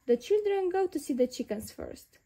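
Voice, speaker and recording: Casting: female children's storyteller, high voice with creaky edges, expressive read-aloud narration. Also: normal speed. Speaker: storyteller reading picture books aloud.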